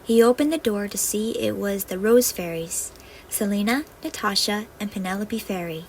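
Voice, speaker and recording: A woman's voice: medium pitch, normal speed, a little mellow. A woman storyteller reading a scripted fairy-tale narration. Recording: faintly noisy home recording.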